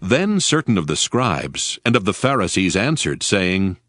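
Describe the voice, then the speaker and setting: Expressive male scripture narrator over music. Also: deep voice, measured pace, dry recording. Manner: formal storytelling.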